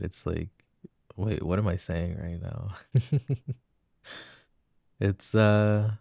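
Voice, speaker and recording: A man's voice: sits low, gravelly, dark tone, no echo, studio quality, full character voice. A young man playing an apologetic, adoring jealous boyfriend in an intimate roleplay, speaking in a casual, hesitant murmur. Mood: sheepish, earnest. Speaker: voice actor.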